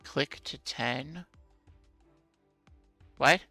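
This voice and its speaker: Creaky, bright-voiced man chatting while gaming. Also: medium pitch, very slow, dry recording, faint music behind. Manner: musing, self-deprecating, answers chat. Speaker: streamer playing a game. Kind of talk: casual gameplay commentary, chatting with viewers.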